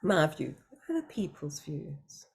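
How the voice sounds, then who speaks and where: A woman facilitating an online group discussion, speaking gently and thoughtfully over a video call. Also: low voice, sing-song, takes it slowly, gravelly, a little hiss or hum.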